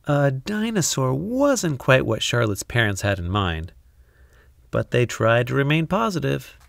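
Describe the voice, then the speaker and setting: Slow, animated male storybook reader. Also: mid-range voice, vocal fry, no room sound, studio quality. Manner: expressive, deliberate with dramatic pauses. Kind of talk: scripted children's story narration.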